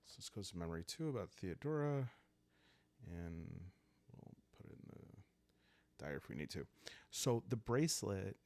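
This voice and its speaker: A man hosting a solo roleplay game playthrough, calm and soft-spoken, improvising the story and thinking aloud as he goes. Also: sits low. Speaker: host playing a solo journaling roleplay game.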